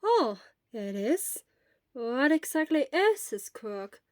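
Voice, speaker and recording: A kindly elderly female nurse voiced by a woman storyteller, composed and unhurried. Her grandmotherly voice is fairly high and reassuring, with a very dry, close sound. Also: big pitch swings, no echo, heavily acted. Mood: calm, curious.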